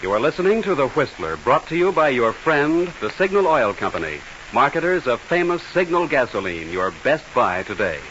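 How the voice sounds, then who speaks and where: A man's voice: medium pitch, very animated, moderate pace, hardly any pauses. A man announcing the sponsor in the style of a 1940s radio broadcast. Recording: hissy old radio recording.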